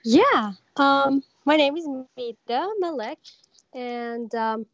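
Slow-spoken Iranian-born woman, muffled call. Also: high voice, dry recording. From Iran. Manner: thoughtful, many pauses and fillers. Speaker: lead vocalist of a metal band. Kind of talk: casual interview answers.

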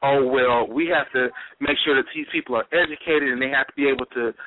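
A man's voice: medium pitch, fairly even intonation, fast talker, background noise. A man co-hosting a talk radio debate, emphatic and opening points with apologies. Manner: emphatic, apologetic lead-ins. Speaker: co-host. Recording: tinny phone-line internet radio with music.

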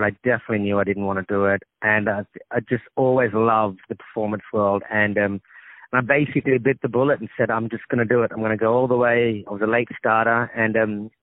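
A man's voice: on the low side, dry recording. Australian guy, phone interview guest, laid-back chatty actor, tinny dry phone line. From Sri Lankan family, grew up in Australia. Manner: relaxed, chatty, laughs easily, lots of ums and likes.

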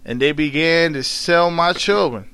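Man acting elderly mother, storybook narration, proud, sorrowful, folksy halting dialect, high-pitched, forceful. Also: clear, resonant tone. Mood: sorrowful, defiant. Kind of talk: dramatic read-aloud of a dialect tale.

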